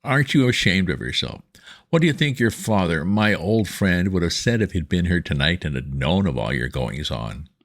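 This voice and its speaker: A man voicing a stern, respected older male badger in a storybook reading, severe and measured. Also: very deep voice, very animated, a bit bright, full character voice. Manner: severe, measured, then gruffly kind.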